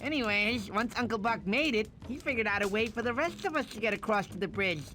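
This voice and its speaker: An actor voicing a goofy, sentimental sloth who tells stories in a fussy, dramatic way for an animated game. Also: mid-range voice, a little fast. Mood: eager storytelling. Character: goofy sentimental sloth storyteller, doting self-styled mama. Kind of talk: animated game voice acting, bedtime storytelling.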